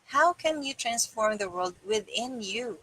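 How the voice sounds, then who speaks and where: A woman's voice: on the high side, expressive, measured pace, pushed and bright, a little hiss or hum. A middle-aged woman reading a self-help book aloud in a small room indoors. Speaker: reader.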